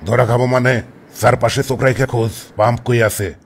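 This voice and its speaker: A man's voice: mid-range voice, steady tone, a little fast, vocal fry, slight background noise, lightly acted. A man voicing a bossy, irritable kidnapper boss in a comic cartoon, scolding and commanding in colloquial Bangladeshi Bengali. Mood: impatient scolding. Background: music.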